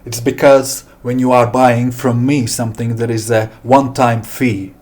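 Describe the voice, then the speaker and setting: A middle-aged man from Latvia with a Latvian accent and non-native English, giving an informal product walkthrough with deliberate, hesitant phrasing. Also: mid-range voice, flat delivery, a little mellow. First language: not English. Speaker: software developer presenting his product. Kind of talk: informal screen demo walkthrough.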